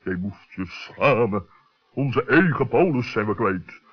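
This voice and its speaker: A man's voice: fairly low pitch, expressive, slow, slightly rough, heavily acted. A man voicing a solemn, pompous, long-winded male owl in a children's radio play. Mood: indignant, grieving. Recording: dull old 1960s radio recording.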